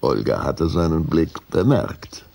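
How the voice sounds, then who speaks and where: A man's voice: rumbling low, expressive, slow pace, creaky, a little mellow, breathy edge, heavily acted. A man narrating a children's radio play in a scripted storytelling style.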